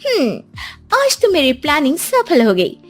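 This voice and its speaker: Woman putting on a shrill, scheming young daughter-in-law. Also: wildly swooping pitch, a little slow, faint music behind, full character voice. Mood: smug scheming. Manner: shrill, scornful, complaining.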